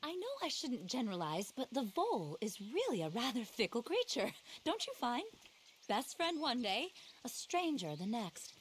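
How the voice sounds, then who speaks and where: A woman's voice: on the high side, sing-song, normal speed, a little hiss or hum. A woman acting an eccentric, passionate, animal-loving naturalist in a video game, theatrical, earnest and a little scattered. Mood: musing, earnest. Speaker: quest-giving wildlife specialist in a western game.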